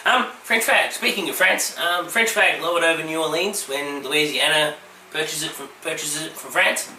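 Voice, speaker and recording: A man's voice: a little high, moderate pace, sharp tone. Man, getting over a virus, dry quips, ums, echoey room, set back from the mic. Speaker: podcast co-host. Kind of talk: casual comedic chat. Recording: echoey room, distant mic, faint hiss.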